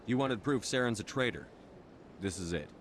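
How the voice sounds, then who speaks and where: An actor playing a tough, blunt military commander in a video game. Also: male voice, lowish voice, animated, normal speed, a little hiss or hum, natural voice. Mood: firm, matter-of-fact. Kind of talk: video game hero acting.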